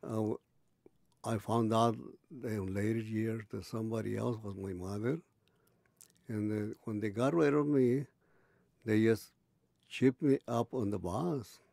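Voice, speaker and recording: A man in his eighties recalling his early life in an oral history interview, halting and restarting as he searches for words. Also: fairly low pitch, vocal fry, dark tone, dry recording, clean studio sound. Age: 80s. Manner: halting, many restarts and pauses.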